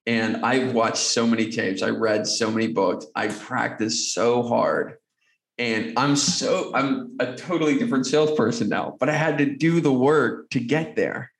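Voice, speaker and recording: Gravelly earnest man in an echoey room. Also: mid-range voice, unhurried. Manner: earnest, motivational. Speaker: podcast guest. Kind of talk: conversational interview answers.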